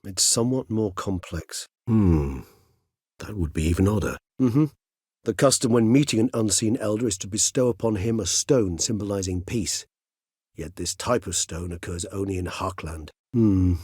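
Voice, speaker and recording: An actor voicing an erudite, gentle, world-weary old vampire scholar in a video game, speaking in measured, courtly, bookish phrases. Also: male voice, on the low side, husky, no echo, clean studio sound. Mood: musing. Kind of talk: video game character dialogue.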